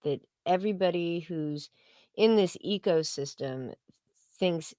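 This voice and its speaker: Female livestream co-host, low pitch, very dry close sound, blunt and profane, casual opinion talk. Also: unhurried, dark tone, no echo.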